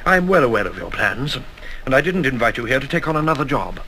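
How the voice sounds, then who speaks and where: An actor playing a courteous, commanding colonel in a 1960s radio drama, speaking in brisk, formal briefings. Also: male voice, mid-range voice, sing-song, sharp tone. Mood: courteous, persuasive. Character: genteel, commanding older intelligence colonel, male, dryly courteous. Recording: faintly noisy 1960s flexidisc radio recording.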